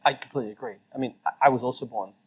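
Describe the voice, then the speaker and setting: A man's voice: mid-range voice, rapid-fire, slightly rough, low-quality recording. A man from Colombia hosting an interview, talking enthusiastically and rambling, often tagging "right?" onto his points. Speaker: interview host. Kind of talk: casual conversational interview.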